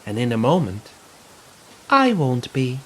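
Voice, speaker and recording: Theatrical, swooping Irish possessed tour guide. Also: male voice, mid-range voice, huge pitch swings, measured pace, a bit bright, a little hiss or hum. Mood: mocking. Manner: theatrical, taunting. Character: possessed male coach tour guide, gleefully sinister and mocking. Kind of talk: audio drama acting.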